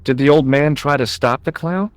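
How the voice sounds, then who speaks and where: An actor playing an earnest, wry young American amateur sleuth in an adventure game, speaking with an American accent. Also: male voice, on the high side, normal speed, clean tone, no echo, close to an everyday voice. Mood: calm, inquiring. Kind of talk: adventure game dialogue and narration.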